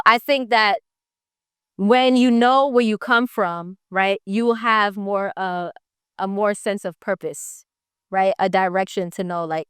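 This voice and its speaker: A woman from Jamaica, a young entrepreneur, talking in a relaxed, upbeat interview and often asking "right?" as she makes her point. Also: mid-range voice, clear, resonant tone, dry recording, studio quality. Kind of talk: casual interview.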